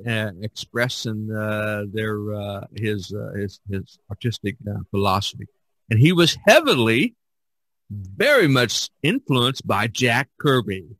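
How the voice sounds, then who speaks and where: A man hosting a podcast, talking casually in a halting, meandering way. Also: on the low side, a bit bright, dry recording. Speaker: podcast host.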